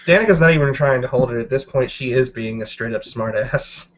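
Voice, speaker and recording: A man's voice: mid-range voice, fairly quick, muffled recording. Man narrating game moves, faint background noise. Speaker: tabletop roleplay player. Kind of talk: casual, improvised game narration.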